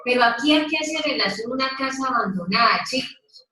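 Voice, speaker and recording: Woman leading a group lesson: slow, prompting questions, sits back from the mic in a roomy space. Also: high-pitched, lively intonation, pushed and bright. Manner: slow, questioning, coaxing listeners to take part. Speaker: Bible study leader. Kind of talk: interactive Bible teaching. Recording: echoey room, mic at a distance.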